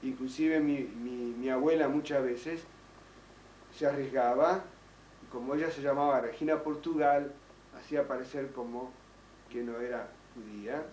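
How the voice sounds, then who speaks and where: An older man whose first language is French, giving halting oral history testimony with many restarts and fillers. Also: medium pitch, steady tone, a little mellow. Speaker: interviewee, child survivor. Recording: roomy, distant, faint noise.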